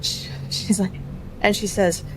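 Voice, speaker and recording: A woman's voice: mid-range voice, fairly even intonation, measured pace, a little mellow, faint music behind. A woman playing a tabletop role-playing game, casually describing her character in the third person.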